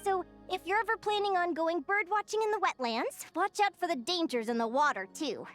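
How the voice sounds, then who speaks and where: High, lilting young adventurer girl. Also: a little fast. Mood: animated storytelling. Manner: expressive, earnest, chatty, with small hesitations. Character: cheerful young female naturalist adventurer, eager storyteller. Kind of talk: animated video game character dialogue. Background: background music.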